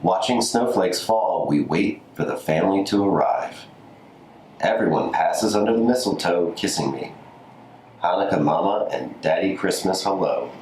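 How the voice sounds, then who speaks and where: A man's voice: sits low, lively intonation, moderate pace, a little mellow. A man reading a children's holiday picture book aloud as a scripted narration. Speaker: community story reader. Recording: roomy echo, faint background noise.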